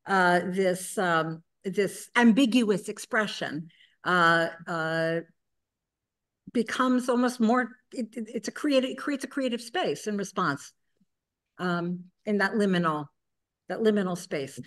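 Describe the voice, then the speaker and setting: A woman's voice: mid-range voice, takes it slowly, a bit bright. Woman moderator, academic, articulate, thinks aloud at a panel table. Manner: articulate, academic, some stammering restarts. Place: conference room panel table.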